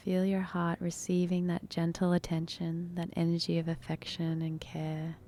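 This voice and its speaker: A woman leading a guided meditation in a soft, breathy, unhurried, soothing manner with long pauses. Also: on the low side, completely flat delivery, slight background noise.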